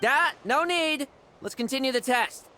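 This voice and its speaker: A man's voice: extremely high pitch, unhurried, bright, forceful tone, heavily acted. An actor playing a cocky, taunting young male knight in an anime-style game. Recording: music behind the voice.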